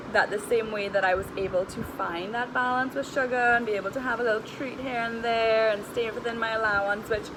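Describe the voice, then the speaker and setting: A woman's voice: a little high, background noise. A woman with a Trinidadian accent talking casually and candidly in a personal vlog, with informal slang, as a health coach. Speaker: health and weight-loss coach vlogger.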